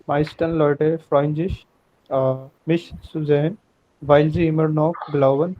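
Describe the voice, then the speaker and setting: A man student reading a German passage aloud haltingly, with hesitations. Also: on the high side, steady tone, a little hiss or hum. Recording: dull lo-fi line.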